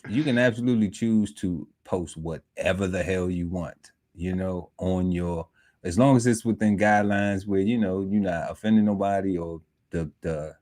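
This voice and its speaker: A man's voice: deep voice, normal speed, a little mellow. A man in his forties chatting casually on a podcast panel, talking in a loose, laid-back way with many fillers. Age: 40s. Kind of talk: casual chat. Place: home podcast setup.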